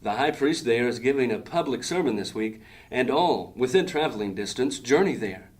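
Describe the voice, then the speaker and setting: A proud leader of a thieves' gang, voiced by a man in a fantasy radio play. He talks in a formal, ceremonious way, with a mid-pitched voice. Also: animated, normal speed, close to an everyday voice. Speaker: actor. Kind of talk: audio drama acting.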